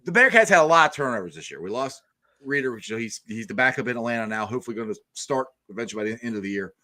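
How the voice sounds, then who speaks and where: A man hosting a casual sports talk show, throwing out questions and loud hype to his guest. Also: medium pitch, animated, racing. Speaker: show host.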